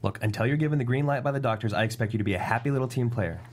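A man's voice: mid-range voice, very fast, lightly acted. A man playing an exasperated, no-nonsense police captain in a table read of a TV script.

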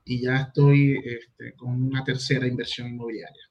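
A man's voice: mid-range voice, little pitch movement, normal speed, darkish tone. A man with a Venezuelan accent giving courteous, slightly formal answers as an interview guest. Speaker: guest, university lecturer and property investor. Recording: dull, lo-fi call audio.

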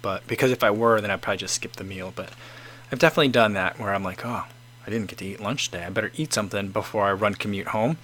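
A man's voice: on the low side, fast talker, a bit bright, faint music behind. A man in his forties casually chatting as host, reading out viewer comments and rambling with many restarts. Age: 40s.

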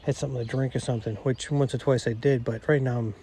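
A middle-aged man with a nasal voice hosting a podcast on his own, rambling and self-deprecating, talking quietly outdoors. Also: mid-range voice, steady tone, fast talker, a little hiss or hum. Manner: quiet, not projecting. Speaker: podcast host. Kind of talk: casual solo monologue.

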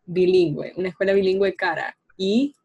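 A young Honduran woman speaks in a reflective, thoughtful way, with casual fillers throughout. Her voice sits somewhat low for a woman. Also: fairly even intonation, normal speed, gravelly, darkish tone, husky. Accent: Honduran Spanish. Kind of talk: casual conversation.

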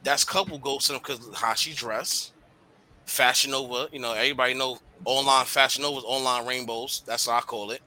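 A man's voice: mid-range voice, steady tone, fairly quick, sharp tone. A man co-hosting a podcast, chatting casually and animatedly, swearing and using slang. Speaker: podcast co-host. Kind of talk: casual chat.

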